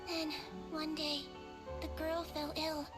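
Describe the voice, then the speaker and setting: An actress playing a gentle young female doctor of fairy folk, telling a story earnestly and softly in a video game. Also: sits high, monotone, takes it slowly, loud music behind the voice. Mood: storytelling, wistful. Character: gentle Melusine doctor in human form, youthful female storyteller.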